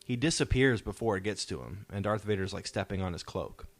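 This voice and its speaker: A man's voice: on the low side, lively intonation, a little fast. A man hosting a casual fan discussion, explaining his points emphatically and posing questions that he answers himself. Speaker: podcast host.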